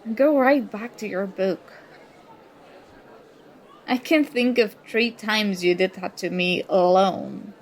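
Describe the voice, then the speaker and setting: A woman's voice: medium pitch, takes it slowly, pauses often, lightly acted, low-quality recording. A woman acting an indignant, sarcastic young student confronting a former school enemy in an audio roleplay. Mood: accusing, hurt. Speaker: ASMR roleplay performer. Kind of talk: ASMR roleplay acting, one side of a dialogue.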